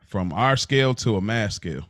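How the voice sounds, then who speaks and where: A man's voice: fairly low pitch, expressive, fairly quick, no echo, clean studio sound. An American man co-hosting a podcast, chatting casually in an informal, slangy way. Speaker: podcast co-host. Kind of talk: casual conversation.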